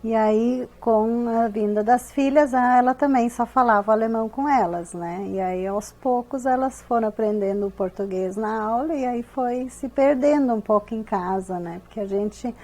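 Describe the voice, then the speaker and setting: A woman's voice: a little high, normal speed, hardly any pauses, mellow. A middle-aged woman with a southern Brazilian accent speaking reflectively, ending thoughts with "né?". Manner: reflective, with frequent "né?". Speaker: interviewee.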